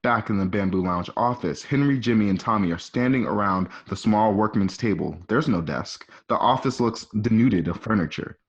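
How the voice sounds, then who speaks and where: A man's voice: on the low side, moderate pace, few pauses. A man reading scripted scene descriptions as the narrator of a radio play. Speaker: narrator reading stage directions. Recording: muffled home recording.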